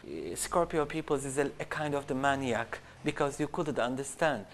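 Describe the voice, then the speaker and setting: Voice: mid-range voice, expressive. A costume designer speaks casually in an interview, searching for words in English. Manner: halting, searching for words in non-native English.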